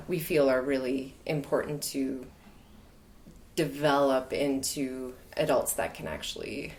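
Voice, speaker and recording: A woman's voice: extremely low pitch, a little mellow. A woman in her thirties from the far north of Canada, a podcast guest talking frankly and loosely, often laughing. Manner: frank, rambling, laughs easily. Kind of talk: casual conversation.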